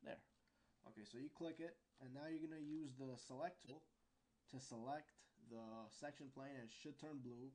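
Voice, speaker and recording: A man giving an informal, unscripted software tutorial, explaining step by step and sometimes searching for words. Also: mid-range voice, fairly even intonation, moderate pace.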